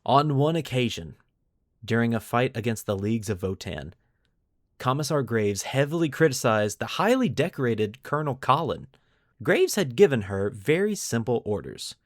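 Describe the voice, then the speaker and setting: A man's voice: mid-range voice, very animated, normal speed, no room sound, clean studio sound. A man hosting a podcast, mixing scripted lore narration with casual commentary and putting dramatic emphasis on key words. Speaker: podcast host.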